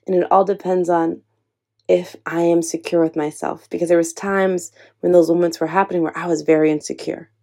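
A young woman with a low, gravelly edge to her voice, speaking in an earnest, encouraging way. Her tone is mellow and relaxed, with a slightly lower pitch than most women. She talks personally and directly to the listener, like a host sharing her own story. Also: fairly quick. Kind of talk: heartfelt personal talk to camera.

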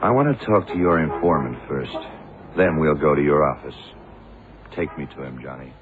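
An actor playing a shrewd, commanding detective in a 1970s radio crime drama, speaking tersely and deliberately. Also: male voice, very deep voice, crowd noise. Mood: calm, probing. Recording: noisy, tinny old radio broadcast.

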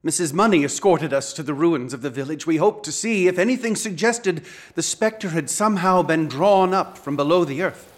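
A man playing a haunted investigator with a high voice. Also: expressive, moderate pace, hardly any pauses, lightly acted. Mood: recounting. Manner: earnest and urgent, insistent. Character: shaken young male investigator, obsessive and haunted. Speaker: narrator and investigator recounting events. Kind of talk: radio drama narration. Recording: scored with clear background music.

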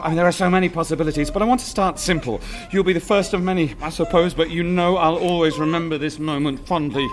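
A man playing a soft-spoken sadistic killer. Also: on the high side, fairly even intonation, quick pace, hardly any pauses, lightly acted. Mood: coldly gleeful. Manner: soft, mocking, coldly polite. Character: calm sadistic male killer taunting a captive. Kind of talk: audio drama acting.

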